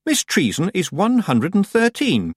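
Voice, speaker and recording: A man's voice: high-pitched, wildly swooping pitch, a little slow, slightly rough, no room sound, studio quality, pitched above the performer's own voice, exaggerated voice. A man voicing an earnest, stern thirteen-year-old girl in an audiobook story, in a firm, direct manner.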